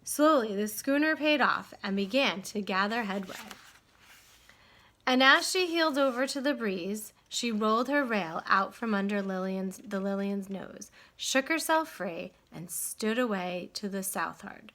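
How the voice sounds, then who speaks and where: Bright-voiced woman reading a storybook, unhurried. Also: medium pitch, expressive. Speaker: storybook reader. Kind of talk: expressive children's read-aloud narration.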